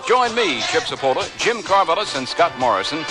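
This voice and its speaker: Animated male sportscaster over music. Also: on the high side, fairly quick. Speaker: sports broadcaster. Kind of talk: scripted radio sports promo.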